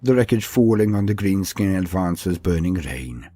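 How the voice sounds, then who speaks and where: A man's voice: low-pitched, big pitch swings, moderate pace, mellow, no echo. A man reading scripted fantasy battle lore as a narrator, with a grave, theatrical delivery. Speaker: narrator of a fantasy war-game lore video. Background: music.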